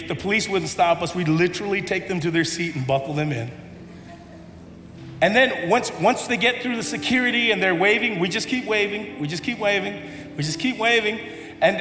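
A man preaches from the pulpit with a high voice. He tells a story and then builds to an impassioned appeal. His words ring through a large hall with a long echo, and faint music plays underneath. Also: a bit bright. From United States. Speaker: pastor. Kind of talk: sermon. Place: church hall.

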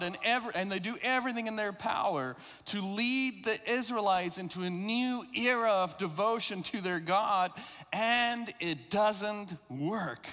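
South African man preaching, high voice. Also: pushed and bright, in a large hall. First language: not English. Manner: reflective, conversational preaching with occasional self-deprecating asides. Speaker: pastor. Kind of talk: sermon.

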